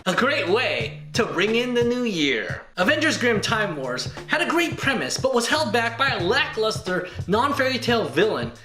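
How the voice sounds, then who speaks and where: A man narrates a comedic movie review with a lively, swooping delivery and a showman's playfulness. His voice sits high for a man, in a roomy echo with loud music behind it. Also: moderate pace, few pauses.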